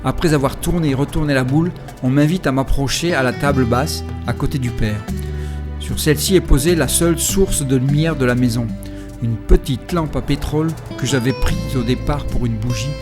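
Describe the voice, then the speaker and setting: Guy narrating his bike trip, calm and thoughtful, medium voice, a bit of music in the back. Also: mid-range voice, normal speed. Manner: calm, reflective storytelling. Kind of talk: first-person travel narration. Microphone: voice-over recorded separately.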